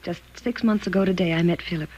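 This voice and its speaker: An actress playing a wealthy, trusting and anxious young wife in a 1940s radio thriller. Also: female voice, on the low side, quick pace, a little mellow, dull audio, close to an everyday voice. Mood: bright, then puzzled. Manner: earnest, dramatic phrasing. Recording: noisy old 1940s radio recording.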